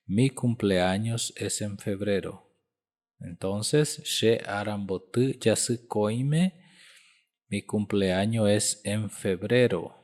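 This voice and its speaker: A man in his thirties teaching a language lesson, saying each phrase slowly and repeating it several times. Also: on the low side. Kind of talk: slow spoken language lesson.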